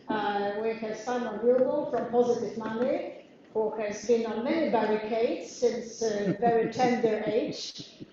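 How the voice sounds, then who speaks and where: A woman's voice: fairly high pitch, background noise. Polish woman chairing in an echoey room. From Poland. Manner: chatty, frequent fillers and laughs. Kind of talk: introducing a panel debate. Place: parliament meeting room. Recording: very echoey room, dull audio.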